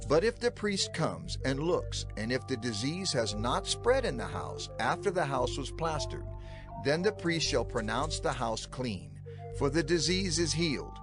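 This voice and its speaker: A man reads scripture as a formal narrator, with music clearly behind him. His mid-range voice rises and falls expressively while the delivery stays measured and carefully enunciated. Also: medium pitch, big pitch swings. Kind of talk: dramatized scripture narration, read from text.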